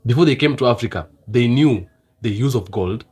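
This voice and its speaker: A man talking earnestly in a casual debate, halting and restarting as he thinks. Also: mid-range voice, expressive, darkish tone, husky. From Kenya.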